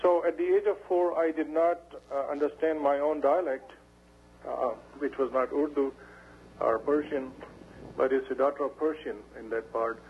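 A man answers interview questions in a gravelly voice, pausing often and restarting his phrases. He comes through a dull, muffled radio broadcast with a faint hum underneath. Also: a little high, fairly even intonation. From Pashtun area of Pakistan. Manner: halting, many fillers and restarts. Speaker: guest.

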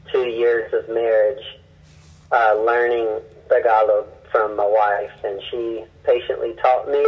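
A man with a husky, breathy voice in a middle register speaks as a missionary guest, recounting his experiences earnestly and often restarting his sentences. The sound is dull and lo-fi. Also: medium pitch. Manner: earnest, halting with many restarts. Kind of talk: personal storytelling in an interview.

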